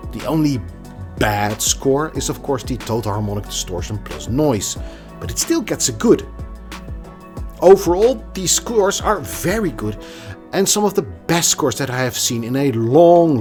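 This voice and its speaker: A man's voice: mid-range voice, big pitch swings, a little slow, darkish tone, soft background music. A man presenting a product review in a conversational, emphatic way, occasionally stumbling over a word. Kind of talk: scripted product review with casual asides.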